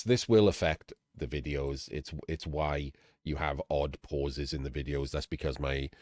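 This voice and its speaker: A middle-aged Welsh man with a Welsh accent, talking candidly and casually as a streamer, with fillers and the odd throat clearing. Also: extremely low pitch, dry recording, clean studio sound. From Wales. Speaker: streamer and channel host. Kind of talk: casual talk to camera-less audience.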